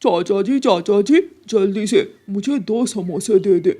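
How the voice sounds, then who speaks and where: Woman voicing a husky, sly cartoon crow thief. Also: mid-range voice, unhurried, mellow, natural voice. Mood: gloating. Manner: dramatic, repeats words. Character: sly male crow thief, gloating and whiny.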